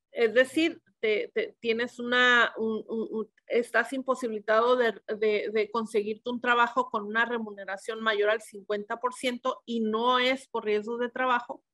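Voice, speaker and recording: A woman's voice: a little high, a bit bright. Methodical woman explaining pension rules. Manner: methodical, many fillers and self-corrections. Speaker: accountant giving a webinar.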